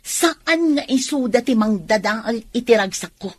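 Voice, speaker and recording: A woman playing a defiant, selfish mistress in a radio drama, with a husky voice and haughty, drawn-out dramatic delivery. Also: on the high side, expressive. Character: defiant, selfish mistress clinging to a married man.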